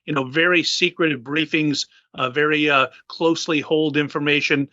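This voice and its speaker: Halting man with a dry close sound. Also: fairly high pitch, steady tone, bright, forceful tone, no echo, muffled recording. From United States. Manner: many fillers, halting. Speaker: guest. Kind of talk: interview.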